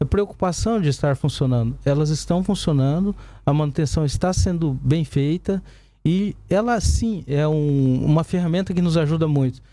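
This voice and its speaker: A Brazilian man in his forties explaining things as a police commander in a studio interview, haltingly and with frequent tag questions. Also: on the high side, warm tone, no room sound. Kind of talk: live studio interview answers. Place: small indoor broadcast studio. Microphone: desk gooseneck microphone.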